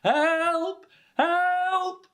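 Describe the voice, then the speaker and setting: Man voicing an earnest little bear, higher pitched. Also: little pitch movement, drawn-out words, pushed and bright, exaggerated voice. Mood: alarmed, innocent. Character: polite, earnest young male bear from Peru.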